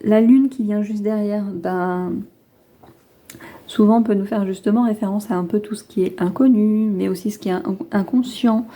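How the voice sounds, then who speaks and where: A woman's voice: medium pitch, fairly even intonation, normal speed, mellow. A woman with a head cold chatting casually to viewers as she reads tarot cards, hesitant and rambling as she thinks aloud. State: has a lingering head cold. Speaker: tarot card reader hosting a reading.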